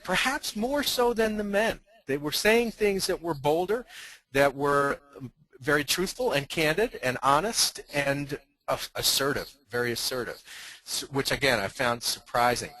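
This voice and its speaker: A man's voice: fairly high pitch, bright, forceful tone, low-quality recording. A man who runs leadership workshops, speaking earnestly and emphatically on a webinar panel.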